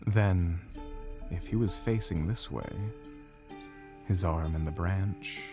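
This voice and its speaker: A man playing a composed, dryly self-assured mage, explaining in a measured way in an audio drama. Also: low voice. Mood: grave, analytical. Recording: music playing behind. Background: background music.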